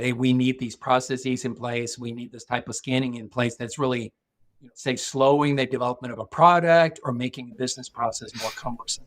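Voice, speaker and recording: Measured man. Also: medium pitch. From Norway. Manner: thoughtful, measured, with frequent restarts. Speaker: guest, security executive. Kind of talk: podcast interview.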